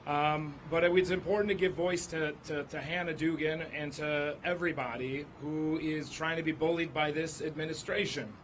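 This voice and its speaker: A hoarse man, a state senator, speaking at an outdoor press conference, stacking up a long list of grievances. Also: a little high, steady tone, normal speed, few pauses, a little hiss or hum. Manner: building lists of grievances.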